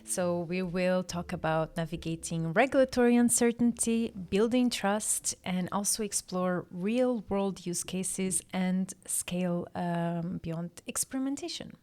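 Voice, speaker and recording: A woman's voice: lowish voice, measured pace, hardly any pauses, a little mellow. A woman with a Portuguese accent co-hosting a business podcast, speaking in a friendly way with some fillers. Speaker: podcast co-host. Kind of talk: casual hosting.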